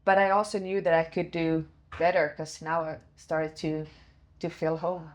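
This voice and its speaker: A woman's voice: deep voice, flat delivery, normal speed. A woman from Sweden, speaking English as a second language, telling her story casually in an interview with frequent fillers and restarts. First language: Swedish. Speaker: guest, former goalkeeper and goalkeeper coach.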